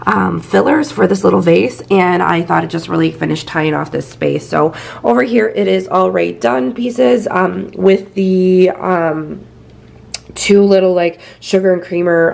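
Woman in her 30s with a scratchy voice, chatty decor tour, lots of fillers, kinda low and really gravelly. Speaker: home decor vlogger. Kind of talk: casual home tour narration. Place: inside a house. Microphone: handheld phone camera.

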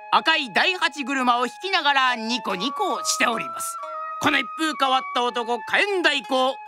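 A woman's voice: fairly high pitch, lightly acted. A woman playing a theatrical traditional storyteller, narrating a drama in a formal, declamatory way. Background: music.

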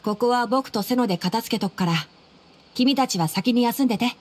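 Anime game boy ranger, voiced by a woman: fairly high, gentle, practical, considerate. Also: brisk, slight background noise, lightly acted. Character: gentle, practical young male forest ranger, boyish.

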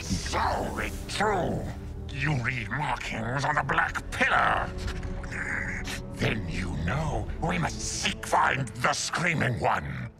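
A scheming ratman villain from a fantasy game, gravelly and imperious. The clipped, snarling speech swings up and down in pitch over music. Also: big pitch swings, takes it slowly, exaggerated voice. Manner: clipped broken grammar, snarling, theatrical. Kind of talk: villainous creature acting in a game cutscene. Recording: music under the voice.

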